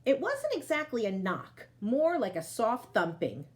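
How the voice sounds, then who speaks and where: A woman storyteller reading aloud to children, expressive, with chatty asides that speak to them directly. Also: on the high side, unhurried.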